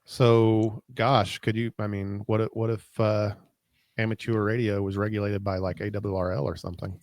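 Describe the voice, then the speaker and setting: A man's voice: fairly low pitch, little pitch movement, moderate pace, a little mellow, no room sound. A man chatting casually as a co-host of a tech panel show. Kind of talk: casual chat.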